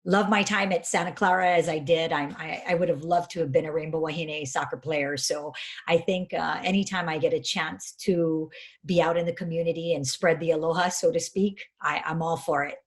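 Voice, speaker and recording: A woman in her fifties from Hawaii, a head soccer coach telling stories in a chatty, self-deprecating way with frequent laughs. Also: deep voice. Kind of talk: casual interview storytelling.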